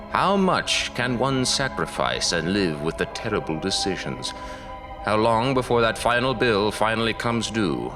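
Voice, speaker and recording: Male actor as spectral radio announcer: formal, theatrical, ominous, lowish voice in echoing reverb. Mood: haughty. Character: stately, ghostly male announcer of a horror show. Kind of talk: scripted horror radio announcing. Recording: echoey hall-like reverb.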